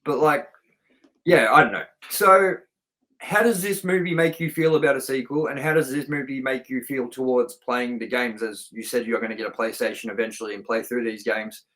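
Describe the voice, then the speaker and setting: A man's voice: mid-range voice, normal speed, a bit bright, poor audio. A man co-hosting a film review show, chatting casually and enthusiastically with informal, sweary language.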